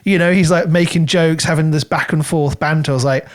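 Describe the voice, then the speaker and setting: A man co-hosting a podcast, talking through a book casually in an animated, rambling way as he thinks aloud. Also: fairly high pitch, a little fast. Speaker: podcast co-host.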